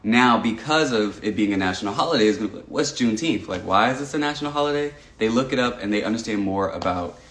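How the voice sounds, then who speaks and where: A man's voice: lowish voice, lively intonation, fast talker, few pauses, fairly bright tone. A young man from Texas talking earnestly on a casual livestream, reading out and answering viewer comments with frequent "um"s and restarts. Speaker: livestream host. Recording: echoey room.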